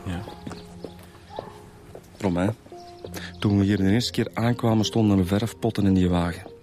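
Radio drama casting: male actor for pointed, sceptical inspector, Flemish accent, lowish voice, dry delivery. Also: fairly even intonation, measured pace, mellow, soft background music, close to an everyday voice. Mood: matter-of-fact, suspicious. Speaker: homicide detective. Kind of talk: naturalistic radio drama acting.

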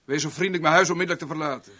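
Agitated, high-pitched hostile man. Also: steady tone, very fast, sharp tone. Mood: hostile, angry. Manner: agitated, curt, commanding. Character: hostile, hot-tempered man caught at his chalet. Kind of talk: 1970s Dutch radio play acting.

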